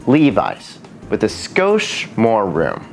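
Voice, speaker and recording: A young man who grew up speaking Japanese and English, hosting a scripted educational video with a lively, playful delivery. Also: on the low side, takes it slowly, gravelly. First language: bilingual in Japanese and English. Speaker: language educator and video host.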